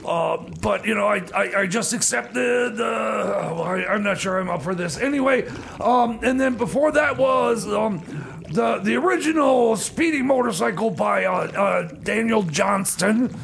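A man playing a flustered, distressed radio DJ in a comedy radio play, halting and stammering as he dithers. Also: fairly bright tone, slight background noise, heavily acted. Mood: rambling, hesitant. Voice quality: creaky, high-pitched. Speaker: comedy radio show host. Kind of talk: comedy radio theater banter. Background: music playing behind the voice.

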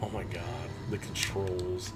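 A man's voice: sits low, level tone, a little slow. A man giving casual, profane, reactive commentary on a video game he plays, talking to himself. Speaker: gamer recording a playthrough. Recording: clear background noise, game ambience.

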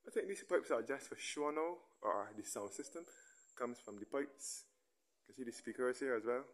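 A man giving a relaxed guided walking tour, recorded on a handheld camera as he moves from a churchyard into a large church. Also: medium pitch, normal speed. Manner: relaxed, pointing things out as he walks. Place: outdoors in a churchyard, then inside a large church.

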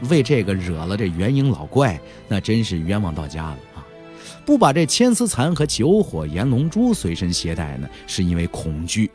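A man's voice: medium pitch, darkish tone. A man narrating an audiobook as a dramatic, conversational storyteller who leans into rhetorical questions and emphatic endings.

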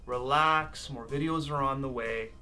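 A man's voice: mid-range voice, unhurried, sharp tone, faint music behind. A young man co-hosting a casual channel update in a small poster-covered room, playful and reassuring, with some swearing. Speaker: co-host.

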